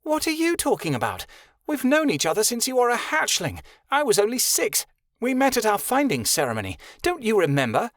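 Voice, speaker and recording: Man doing pleading young woman, very high pitch, loud, dry. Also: sing-song, no echo. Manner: hurried, pleading.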